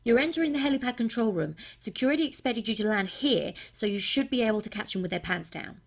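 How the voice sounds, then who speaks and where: A woman playing a brisk, focused tech operative who guides an agent in a video game. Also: a little high, animated, creaky. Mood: focused, urgent. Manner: brisk, businesslike.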